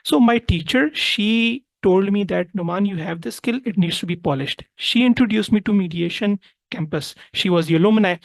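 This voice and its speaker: Pakistani-accented speaker, close dry sound. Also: female voice, medium pitch, no room sound, poor audio. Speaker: interview guest, mediator. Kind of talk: personal storytelling in an interview.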